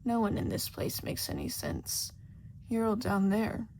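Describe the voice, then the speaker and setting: A woman's voice: mid-range voice, huge pitch swings, measured pace, noisy background. Woman reading as a bewildered, dry-spoken man. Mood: irritated. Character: bewildered, irritable man lost in a strange dreamlike world.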